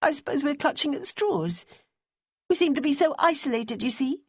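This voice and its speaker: A woman's voice: high-pitched, sing-song, normal speed, dry recording, studio quality, voice pushed up. A woman reading an audiobook, voicing an anxious young widow who talks in an urgent, pleading way, her voice raised well above her own.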